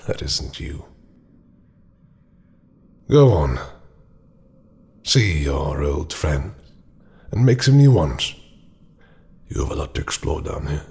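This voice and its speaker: A man playing an immortal, possessive yet tender deity in a dark fantasy romance audio roleplay, speaking intimately and often sinking into murmurs. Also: extremely low pitch, gravelly, exaggerated voice. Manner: intimate, slow, dropping into murmurs and whispers. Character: immortal male deity, possessive, tender, darkly seductive ruler.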